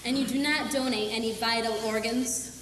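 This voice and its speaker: A woman's voice: on the high side, steady tone, moderate pace, a bit bright. A teenage girl in a school play, acting a hardboiled female private eye with dry, clipped noir patter. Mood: wry. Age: teens. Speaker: student actor. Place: high school stage. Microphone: distant camera. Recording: echoey school auditorium from far off, noisy.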